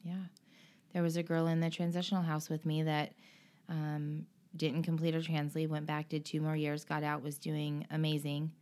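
A woman guest in an interview, speaking earnestly and emotionally about her own experience, with frequent pauses and restarts. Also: low-pitched, monotone, darkish tone. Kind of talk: candid personal interview.

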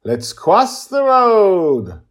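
A man's voice: high-pitched, wildly swooping pitch, very slow, voice pushed up, heavily acted. Man acting out an emphatic, playful, protective dad. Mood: amused, encouraging. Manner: emphatic, patient, amused. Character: playful, protective father teaching his young daughter.

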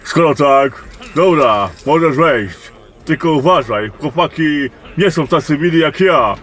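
A bluff male camp guard speaks over light music in a fantasy audio drama, acted out by a man. His voice is fairly high and his pace slow. He has a joking, bawdy manner. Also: animated, fairly bright tone.

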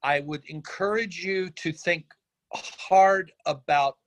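A man's voice: fairly high pitch, slow. A man speaking casually in a group discussion, as an actor from a script reading.

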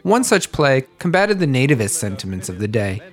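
Man, podcast host, scripted narration, measured delivery, mid pitch, guitar music behind. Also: very animated.

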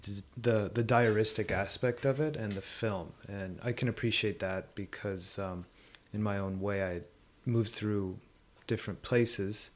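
A man with a fairly low voice talks through ideas in a conversational way. He is reflective and often halting, pausing to search for the right words. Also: steady tone. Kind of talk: thoughtful conversational discussion.

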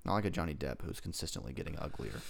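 A man's voice: a bass voice, sing-song, racing, gravelly, slight background noise. A man co-hosting a casual podcast, joking and teasing as he rambles into asides about pop culture. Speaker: podcast co-host.

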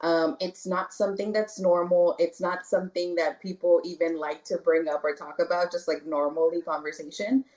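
A woman from the Rio Grande Valley in Texas, a guest talking casually in an interview, soft-spoken with many fillers and restarts. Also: fairly low pitch, little pitch movement, moderate pace, few pauses, low-quality recording. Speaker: guest, spiritual candle maker.

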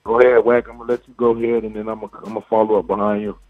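A man's voice: on the low side, flat delivery, very fast, dry recording. A man from Illinois calling in as an interview guest, laid-back and slangy. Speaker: guest artist. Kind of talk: casual phone-in interview. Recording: tinny phone line with faint music.

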